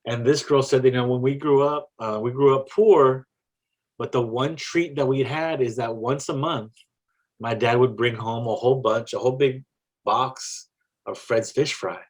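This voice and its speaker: A man from South Texas with a South Texas accent, a poet talking about his work in a casual interview, reflective and halting, with many restarts. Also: medium pitch, steady tone. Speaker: poet guest.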